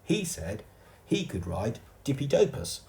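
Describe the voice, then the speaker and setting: A man voicing a small, earnest boy who insists he is right, in a squeaky, indignant tone. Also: big pitch swings, unhurried, warm tone.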